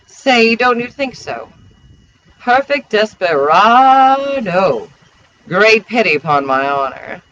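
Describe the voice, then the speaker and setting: Affected storybook fop, done by a man, drawling and rambling, bright voice with wide swings, faint noise. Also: high voice, very animated, lo-fi sound, voice pushed up, heavily acted. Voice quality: drawling, drawn-out syllables. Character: affected, drawling gentleman gossip, foppish and evasive.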